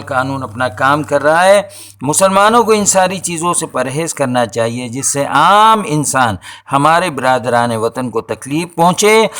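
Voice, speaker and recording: A man delivers a scripted opinion monologue in formal language, with oratorical, deliberate phrasing. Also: medium pitch, fairly bright tone. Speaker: social and religious commentator.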